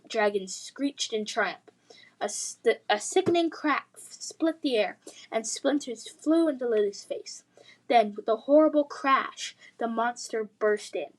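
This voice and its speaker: A woman reading a children's fantasy story aloud as narrator, with an expressive, suspenseful delivery. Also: a little high, rough recording.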